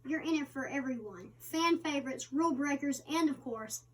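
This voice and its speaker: A woman reading the interviewer's part of a magazine interview aloud, somewhat haltingly with stumbles. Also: high-pitched, a little hiss or hum. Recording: distant microphone.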